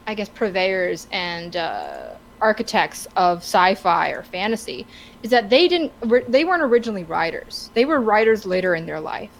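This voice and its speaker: A woman co-hosting a podcast in casual, opinionated discussion, halting and stammering as she searches for words. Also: medium pitch, rough recording. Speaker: podcast co-host. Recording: clear background noise.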